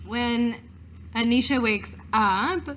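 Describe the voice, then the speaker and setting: Female tabletop player, unhurried, fairly high voice, casual role-play, faint noise behind. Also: fairly bright tone. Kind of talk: casual role-play, describing her character's actions.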